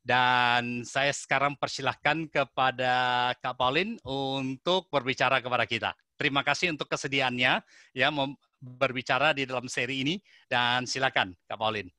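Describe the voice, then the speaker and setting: Man, webinar host, very bright voice, teasing, dry close sound. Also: mid-range voice, fairly even intonation, moderate pace, no echo. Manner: warm teasing, friendly asides to the audience. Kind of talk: introducing a guest.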